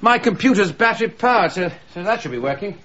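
Casting: genial older English military gentleman, hesitant and polite, lilting range, radio play with slightly dull sound. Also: high voice, animated, fast. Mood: affable. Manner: polite, hesitant, drily understated. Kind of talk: radio drama acting.

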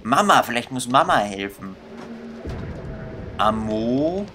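Slow-talking young man doing puzzled game commentary. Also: mid-range voice, very animated. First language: German. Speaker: gaming video host. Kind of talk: casual let's-play commentary, thinking aloud. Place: indoor room at a computer. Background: game music.

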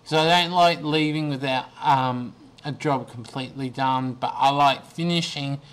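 A man in his twenties talks slowly into his webcam from a small room, worn out and going hoarse. He wanders through his story with plenty of ums and false starts, as if just chatting to friends. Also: mid-range voice, a bit bright. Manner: rambling, slow, many ums and restarts. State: losing his voice, tired. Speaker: vlogger. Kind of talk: casual video diary. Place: small bedroom indoors. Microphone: webcam at desk.

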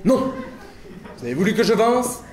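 An actor playing a fussy, flustered gentleman lover in a stage farce, blustering, exasperated and repeating himself emphatically. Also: sits high, sing-song, moderate pace. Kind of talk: broad theatrical stage farce acting. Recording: echoey stage, faint background noise.

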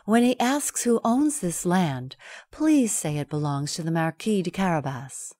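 A woman's voice: on the low side, expressive, a little slow, mellow, dry recording. A woman voicing a clever scheming male cat who speaks with courtly politeness, in a storybook reading.

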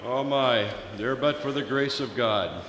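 A man's voice: mid-range voice, unhurried. An older man hosting an old-time radio style program at a podium microphone in a large, echoey church with faint noise. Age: 60s or older. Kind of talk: old-time radio host patter to a live congregation.

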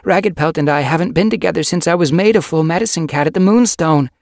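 A man's voice: medium pitch, lively intonation, unhurried, creaky, breathy edge, no echo, clean studio sound, pitched above the performer's own voice, full character voice. A man voicing a young expectant mother cat in an audiobook story, anxious and defiant, with an earnest, emotional delivery. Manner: emotional, protesting.

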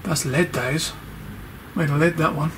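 Man, fairly high voice, chatty exploring vlogger, echoey empty rooms, exclaiming as he goes. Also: fairly even intonation, moderate pace, heavy background noise. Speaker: paranormal explorer, channel host. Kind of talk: casual exploring vlog narration. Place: indoors, walking through empty derelict building. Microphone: handheld camera.

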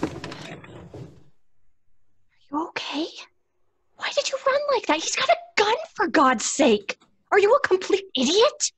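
A woman playing an anxious, scolding but level-headed young daughter, urgent and sometimes hushed, in a horror audio drama. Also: extremely high pitch, very animated, measured pace, pauses often, no room sound, full character voice. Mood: alarmed, scolding. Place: home, on a video call.

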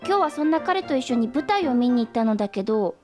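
A woman plays a sweet, airheaded girlfriend in a radio comedy. Her voice is high, and she speaks with innocent hesitation and supportive warmth. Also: expressive, fast talker, over loud music, close to an everyday voice. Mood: fond. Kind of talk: radio comedy drama acting.

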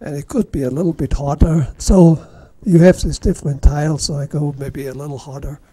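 Brief: middle-aged Austrian man, mellow tone, slightly high voice, halting club demonstrator, dry close sound. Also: steady tone, slightly rough, no room sound. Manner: halting, self-deprecating, many restarts. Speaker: woodturning demonstrator. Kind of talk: casual workshop demonstration. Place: club meeting room.

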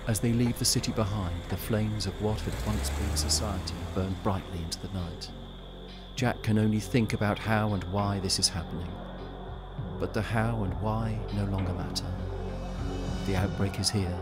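A man's voice: on the low side, fairly even intonation, normal speed, over loud music. A man reading scripted narration for an audio drama.

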